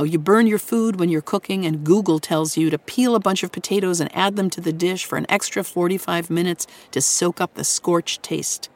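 A woman hosting a radio show, giving a scripted introduction to a story in a measured, articulate way with deliberate pauses. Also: lowish voice.

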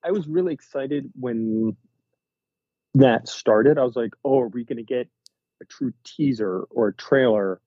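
Husky man on a dull lo-fi line. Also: mid-range voice, a little slow, dry recording. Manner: musing, wondering aloud. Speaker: podcast co-host. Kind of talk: casual chat.

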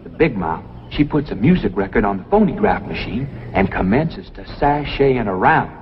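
An actor playing a naive, boastful young backwoods man with a Southern hillbilly accent, telling tales in a folksy, excitable way in an old TV sitcom. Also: fairly low pitch, moderate pace, lightly acted. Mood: eager, boastful. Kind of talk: 1960s TV sitcom acting. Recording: dull, noisy old TV soundtrack.

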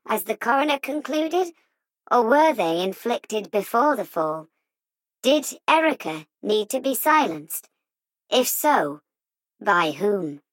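A woman reading as an insinuating true-crime podcast host, in deliberate, dramatic scripted audiobook narration. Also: on the high side, a bit bright, no room sound, poor audio. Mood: probing suspicion.

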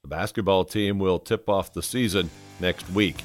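Low-voiced man hosting a sports podcast. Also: steady tone, normal speed, soft background music. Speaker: podcast host and sports broadcaster. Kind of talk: sports interview hosting and scripted-sounding announcements.

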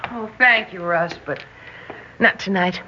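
An actress in a 1960s radio drama playing a gentle, dreamy young woman, speaking softly and hesitantly. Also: medium pitch, a little slow. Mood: apologetic. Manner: soft, wistful, hesitant. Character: gentle, dreamy 23-year-old woman awaiting true love. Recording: muffled, hissy old radio recording.

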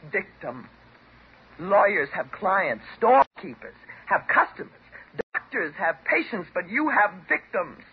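An actress playing a bitter, wounded divorced housewife, sarcastic and dramatic, in the style of 1950s radio drama. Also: female voice, a little high, gravelly, slightly rough, exaggerated voice. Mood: accusing, scornful. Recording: muffled, noisy 1950s radio recording.

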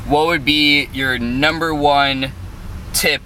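A male host from the Chicago area, chatting loosely and laughing easily. His voice is bright and forward. Faint noise sits underneath. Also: a little high, slow, distant mic, low-quality recording. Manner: relaxed, laughs often, loose questions. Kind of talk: casual interview chat.

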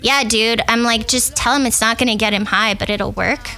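A woman with a high, childlike voice, telling stories in an animated way during a casual podcast chat. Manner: animated, swearing, quick storytelling. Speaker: podcast co-host.

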